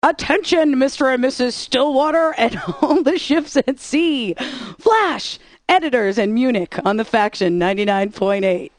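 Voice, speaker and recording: A woman's voice: high voice, expressive, normal speed, no echo. A woman radio DJ with sassy, playful patter between songs.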